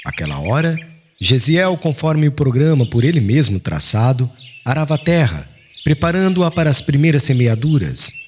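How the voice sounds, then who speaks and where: A man's voice: mid-range voice, slight background noise. A man reading an audiobook as a solemn, formal and dramatic literary narration.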